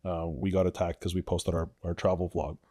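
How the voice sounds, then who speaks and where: Blunt fast-talking Ukrainian-born man. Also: sits low, flat delivery, dark tone. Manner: blunt, emphatic storytelling. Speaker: guest, influencer. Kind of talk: casual podcast chat.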